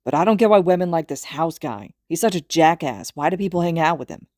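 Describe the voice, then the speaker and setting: A woman's voice: low-pitched, animated, a little fast, darkish tone, no echo, clean studio sound. A woman voicing a brash, hot-tempered teenage boy in an audiobook, growling and scornful. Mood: irritated.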